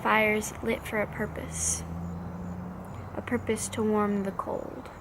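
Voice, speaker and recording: A woman recites slam poetry at an unhurried, slow pace. Her mid-pitched voice carries noticeable vocal fry, and she sets out each short phrase with measured care. Faint noise sits behind the recording. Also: level tone. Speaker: slam poet.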